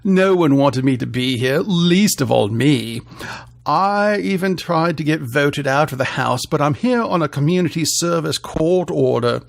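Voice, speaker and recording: A man doing a pompous, drawn-out rich snob. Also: on the high side, expressive, gravelly. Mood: haughty, aggrieved. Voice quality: thick affected snooty accent. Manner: pompous, haughty, disdainful.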